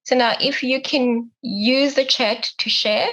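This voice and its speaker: A woman's voice: a little high, steady tone, slow, fairly bright tone, poor audio. A young woman moderating an online panel in a casual way, full of likes and you-knows. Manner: casual, many fillers of like and you know. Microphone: video call.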